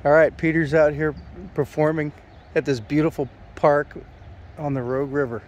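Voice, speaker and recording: A man's voice: a little high, fairly even intonation, moderate pace, muffled recording, a little hiss or hum. Curious man filming in a park. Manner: curious, asks short questions. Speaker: vlogger. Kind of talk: casual vlog narration and interview. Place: outdoors in a park. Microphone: phone camera he holds.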